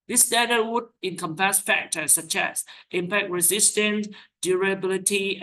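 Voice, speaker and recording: An IELTS teacher reading sample speaking-test answers aloud, with quick self-corrections. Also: mid-range voice, sharp tone, slight background noise, poor audio. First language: Vietnamese. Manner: reads out questions and answers, corrects own slips with "sorry".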